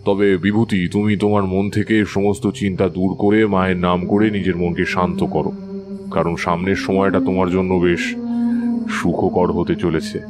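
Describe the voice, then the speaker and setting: A man acts out a wise older occult priest for a dramatised story. His voice is low and unhurried in feeling, calm and assured like an elder advising the young. Soft music sits behind it. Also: close to an everyday voice. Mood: calm, reassuring. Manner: calm, measured, reassuring, authoritative. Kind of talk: audio drama dialogue. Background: faint background music.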